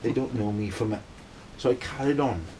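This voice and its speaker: A Welsh man in middle age tells a story in a loose, meandering way and keeps pausing to ask "right?" His voice sits at an ordinary middle pitch. A faint hiss runs under the recording. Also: dark tone, rough recording. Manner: rambling, keeps checking in with "right?". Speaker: band member telling a story. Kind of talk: casual storytelling.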